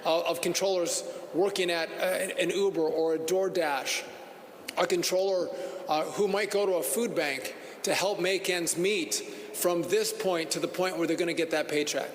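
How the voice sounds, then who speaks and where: A man's voice: sits high, fairly even intonation, normal speed. A man speaking as an official, making a public statement. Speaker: official speaking at a press event. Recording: echoey hall, long reverb, faint noise.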